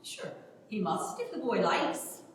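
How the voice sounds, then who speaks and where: A woman's voice: a little high, wildly swooping pitch, takes it slowly, full character voice. A woman playing a young, plain-spoken country woman in a staged play reading, talking in an exclamatory, gossipy way. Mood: chatty, earnest. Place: large reverberant hall at a public event. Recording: echoey hall, far from microphone, noisy.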